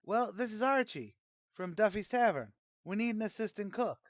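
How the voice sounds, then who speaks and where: Guy doing a slangy wisecracking tavern boss, pretty high voice, old radio comedy style, dry close sound. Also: animated, moderate pace, no echo. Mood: blustering salesman. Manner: wisecracking, streetwise slangy talk.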